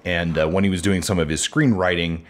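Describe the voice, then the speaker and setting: A man with a low, deep voice co-hosts a casual book podcast. He talks in a relaxed, hesitant way with plenty of fillers and keeps the conversation moving. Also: brisk. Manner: hesitant, many fillers, steers the discussion. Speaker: podcast co-host. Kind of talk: casual literary discussion.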